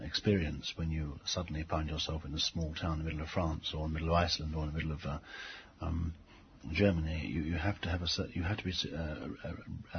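A middle-aged man giving reflective interview answers as a teacher, speaking haltingly with frequent pauses. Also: low-pitched. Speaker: English language teacher being interviewed. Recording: dull lo-fi tape, faint background noise.

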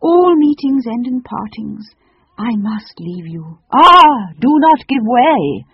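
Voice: medium pitch, big pitch swings, a little slow, slightly rough, no room sound, dull audio, voice pushed up, heavily acted. A reader voicing a pompous ancient magical bird in a storybook, with grand, archaic, declamatory phrasing. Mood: solemn, melodramatic.

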